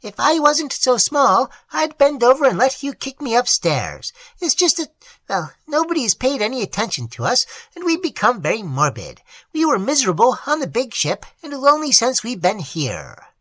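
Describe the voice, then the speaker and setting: A woman doing a shrill tiny troll. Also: very animated, moderate pace, fairly bright tone, no echo. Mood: pleading. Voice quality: shrill, tinkling.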